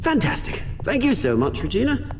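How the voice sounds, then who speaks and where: Smug villain, wildly swooping pitch. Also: male voice, huge pitch swings, moderate pace, a little hiss or hum, heavily acted. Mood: gloating, sarcastic. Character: taunting, smug male crime boss villain. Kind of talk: dramatic video game acting.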